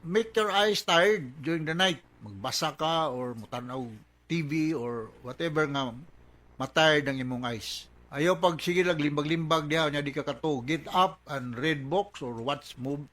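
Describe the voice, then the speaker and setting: A man's voice: on the high side, a bit bright. A man with a Cebuano Filipino accent giving conversational medical advice as a radio host, often switching into English. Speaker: doctor hosting a radio advice programme.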